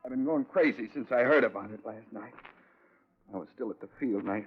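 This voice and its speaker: An American actor playing a nervous, defensive ground crewman under questioning, halting and anxious, in 1950s radio drama. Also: medium pitch, slight background noise, lightly acted. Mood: anxious, confessing. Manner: halting, anxious, stammering repetitions. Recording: thin, narrow-band old radio recording.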